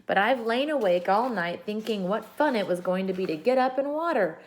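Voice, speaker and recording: A woman voicing a bold, unruly, excitable young girl in a storybook, with an emphatic, boastful way of talking. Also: mid-range voice, a little fast, lightly acted, low-quality recording. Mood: grudging.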